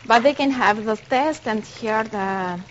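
A middle-aged woman chef, demonstrating a recipe on a TV cooking segment and pausing to search for words. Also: a little high. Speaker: restaurant chef. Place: TV studio kitchen set. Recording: muffled TV broadcast audio with background hiss.

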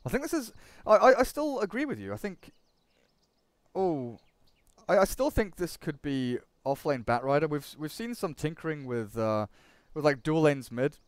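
Young English caster, bright clean voice, chatty and loose. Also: male voice, fairly high pitch, big pitch swings, no room sound. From England. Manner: chatty, quick asides, repeats words. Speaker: esports commentator. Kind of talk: esports draft commentary.

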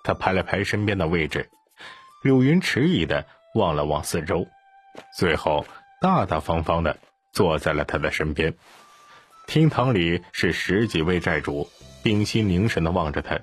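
Deep, animated male audiobook narrator. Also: husky. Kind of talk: scripted story narration.

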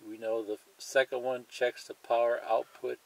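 A man's voice: medium pitch, little pitch movement, moderate pace, vocal fry, rough recording. A man presenting a hands-on tutorial in a plain, methodical way, explaining each step and repeating it.

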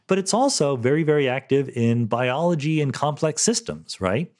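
A man's voice: mid-range voice, lively intonation, moderate pace, a little mellow. A middle-aged man hosting a podcast, answering listener questions in a thoughtful, explanatory solo monologue, often checking in with "right?".

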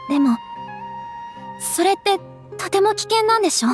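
Game noble lady, young woman, caring, soft refined delivery, very high pitch. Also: animated, normal speed, faint music behind. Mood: concerned. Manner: soft, polite, refined speech.

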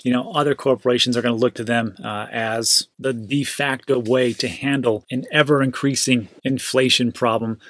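A man's voice: medium pitch, fairly bright tone, no room sound. A man hosting a casual crypto news show, chatting upbeat and loose about market prices, often repeating himself for emphasis. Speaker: crypto news channel host.

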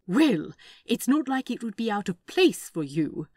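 An indignant, fond male angel from fan fiction performed by a woman. He speaks slowly and prissily, with precise emphasis and swooping expression, in a dry close recording. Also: a little high, very animated, a little mellow, no room sound. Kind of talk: fan fiction dialogue reading.

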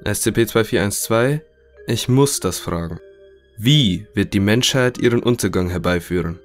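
A man's voice: on the low side, normal speed, soft background music, lightly acted. A man playing a polite research scientist who interviews test subjects in a scripted audio drama. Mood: calm, inquiring.

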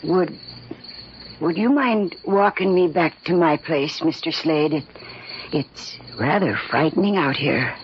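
A woman's voice: sits low, expressive, takes it slowly. An actress playing a nervous, folksy, hesitant neighbour woman in a 1940s radio drama. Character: nervous neighbour woman, chatty and easily frightened. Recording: dull old radio recording, faint noise.

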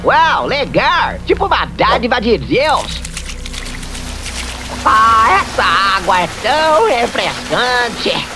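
A man's voice: very high voice, big pitch swings, takes it slowly, heavily acted. A man voicing an eager, bossy young critter leader in a cartoon dub, exclamatory and dramatic. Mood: excited. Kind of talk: animated cartoon dub acting. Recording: loud music behind the voice. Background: music.

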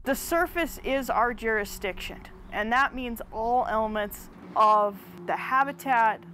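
Woman official, interview, fairly high voice, faint noise. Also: unhurried, sharp tone.

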